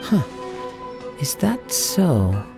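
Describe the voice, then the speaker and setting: An actor playing a calm, polite and thoughtful young samurai with formal speech in a video game. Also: male voice, fairly high pitch, very slow, creaky, full character voice. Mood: composed. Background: background music.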